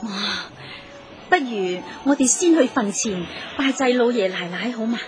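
A high, gentle wife's voice in a Cantonese radio play. She is dutiful, earnest and polite, with music playing clearly behind her. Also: lively intonation, a little slow. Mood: gracious. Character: gentle, dutiful wife and daughter-in-law. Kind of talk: Cantonese radio drama acting.

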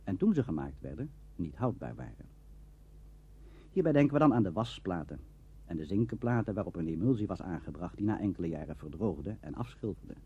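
Lage, hese mannenstem, donker, levendige intonatie, doffe opname. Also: male voice, deep voice, very animated, warm tone, slightly rough. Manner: formal, measured storytelling. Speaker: documentary narrator. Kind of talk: scripted narration. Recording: dull lo-fi archive tape.

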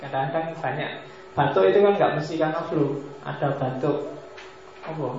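A man's voice: on the high side, moderate pace, a little mellow, slight background noise. Joking man lecturing in an echoey hall. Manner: joking, mixes Javanese asides. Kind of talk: casual philosophy lecture. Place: large mosque hall. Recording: echoey hall, dull lo-fi sound.

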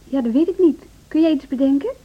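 An actress playing an earnest, hesitant female music teacher who keeps gently probing, in a radio drama. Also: high voice, quick pace, highs cut off, close to an everyday voice. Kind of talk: Dutch radio drama acting.

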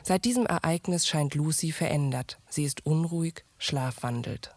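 A woman's voice: low voice, normal speed, no echo. A woman reading scripted narration that introduces a radio drama.

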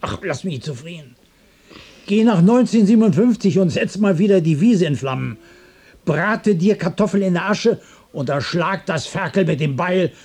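A restless, irritable loner in a radio play. His voice is husky and mellow, set a little high. He muses slowly in broken, repeated phrases and can turn cranky. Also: expressive, heavily acted. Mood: weary, gruff. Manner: broken, repetitive musing, breaking into cranky outbursts. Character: distraught, irritable, restless loner adrift in the world. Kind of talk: literary radio drama monologue and dialogue.

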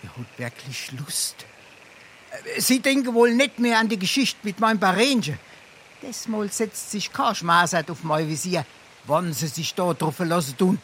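Pompous Hessian rogue, high-pitched voice. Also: male voice, big pitch swings, measured pace, fairly bright tone, a little hiss or hum, exaggerated voice. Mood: haughty. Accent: Darmstadt Hessian dialect. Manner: theatrical, grandiloquent, blustering. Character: brazen, pompous, scrounging rogue of 1840s Darmstadt. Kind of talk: dialect stage comedy acting.

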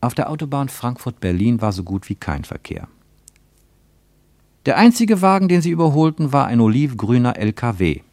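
A man reading a scripted literary narration for a radio play in a measured, deliberate way. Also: medium pitch, a little mellow, no room sound.

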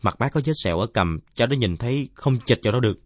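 A man's voice: mid-range voice, animated, racing, dry recording, lightly acted. A man voicing a polite but persistent young boy who argues respectfully in a story.

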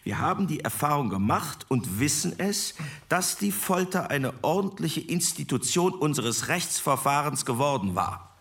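An actor playing an earnest jurist who reasons in a measured, formal and insistent way in a re-enacted committee debate. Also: male voice, fairly high pitch, very animated, vocal fry, fairly bright tone, distant mic, full character voice.

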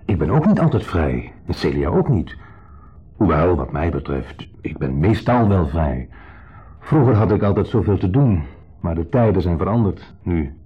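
A man's voice: low-pitched, very animated, normal speed, husky, full character voice. An actor playing a lonely, needy man in a radio drama, insistently friendly and confessional, swinging between eager and downcast. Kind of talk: 1970s radio drama acting. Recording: dull 1970s radio recording.

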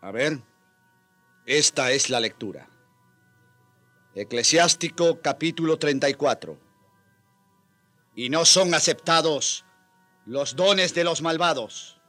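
Animated, troubled colonial priest. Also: male voice, on the high side, slow, long pauses, faint music behind, full character voice. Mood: solemn preaching. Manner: dramatic, exclamatory, sighing. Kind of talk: radio drama acting.

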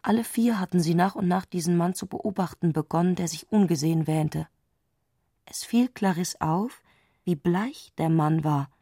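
Low-voiced woman narrating, dry studio sound. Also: normal speed, warm tone, dry recording. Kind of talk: scripted literary narration in a radio play.

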